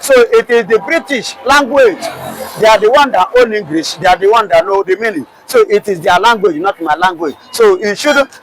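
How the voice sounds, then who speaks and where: A man's voice: high-pitched, fairly bright tone, slight background noise, low-quality recording. A man with a Nigerian accent, arguing passionately in a street interview with many rhetorical questions and restarts.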